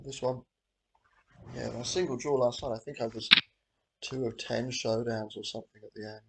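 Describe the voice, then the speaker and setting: A man with a cold, a bit hoarse, hosting and talking casually through his own poker hands as he plays, half-muttering his thoughts aloud. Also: mid-range voice, very noisy. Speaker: host. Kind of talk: casual commentary while playing online poker.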